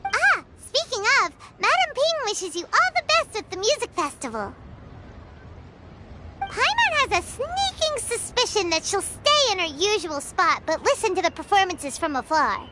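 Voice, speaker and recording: A woman voicing a small, eager, bubbly childlike fairy companion in a video game, squeaky and chatty. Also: big pitch swings, moderate pace, very noisy. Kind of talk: video game character acting. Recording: loud music behind the voice. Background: loud tinkling game music.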